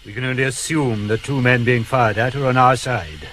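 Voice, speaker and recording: Man playing a grandiose British thespian sleuth, florid, theatrical, forceful bright voice, 1970s radio drama, faint noise. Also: medium pitch, fairly even intonation, normal speed, natural voice. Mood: brisk, wry. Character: grandiose male Victorian actor turned detective, vain and fearless. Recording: noisy 1970s radio broadcast recording.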